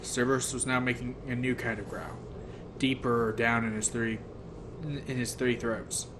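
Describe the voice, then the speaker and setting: A man's voice: mid-range voice, fairly even intonation, measured pace. Man reading a novel aloud, noisy recording. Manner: stumbles and rereads phrases. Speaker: audiobook reader. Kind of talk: first-person novel narration. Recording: noticeable background noise.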